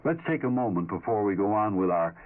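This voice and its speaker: A man's voice: fairly low pitch, expressive, fast talker. A man reading scripted announcements as a radio programme announcer. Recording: tinny old radio recording.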